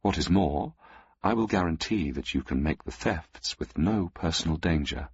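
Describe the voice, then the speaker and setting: Man doing a cool, curt woman collector for an audio story; husky, dry, muffled. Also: rumbling low, moderate pace, no echo, full character voice. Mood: cool, businesslike. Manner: calm and curt.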